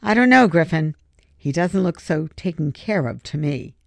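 A woman's voice: fairly low pitch, expressive, moderate pace, gravelly, no room sound. A woman voicing a worried, skeptical schoolboy sidekick with a wry manner in an audiobook story. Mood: unconvinced. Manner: doubtful, wry.

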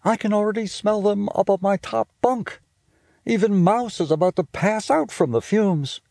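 A man voicing a teasing, bossy big-sister girl with a high, squealing voice and an exasperated, lively manner. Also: normal speed, vocal fry, no echo, clean studio sound.

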